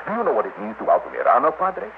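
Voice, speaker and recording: An actor playing a flustered, easily impressed small-town Mexican mayor in an old-time radio drama. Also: male voice, mid-range voice, huge pitch swings, rapid-fire, narrow, tinny audio. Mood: awed. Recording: thin, slightly noisy old radio broadcast.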